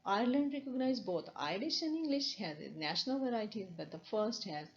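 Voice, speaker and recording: A woman's voice: a little high, expressive, brisk. A woman with a Pakistani accent, a university lecturer explaining over slides, with many restarts and fillers. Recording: dull lo-fi recording.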